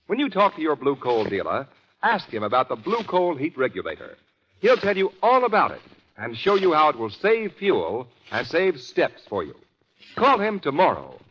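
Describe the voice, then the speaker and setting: Animated American vintage radio announcer man. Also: on the high side. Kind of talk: scripted 1940s radio commercial. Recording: muffled old radio recording, faint hiss.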